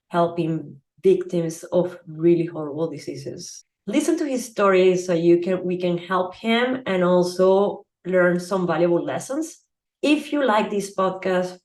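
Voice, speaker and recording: A woman hosting an interview podcast, talking in a friendly, conversational way. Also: lowish voice. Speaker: podcast host. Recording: roomy echo.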